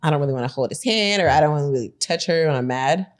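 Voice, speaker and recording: Woman host, upbeat and animated, lively pitch. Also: rapid-fire. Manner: bubbly, excited, laughs often. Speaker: podcast host. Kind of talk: casual podcast chat.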